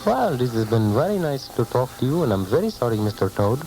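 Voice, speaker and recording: A man's voice: medium pitch, big pitch swings, a little fast, over music, low-quality recording. A man playing a polite, bewildered interviewer with stilted, formal phrasing, in a comic sketch. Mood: courteous.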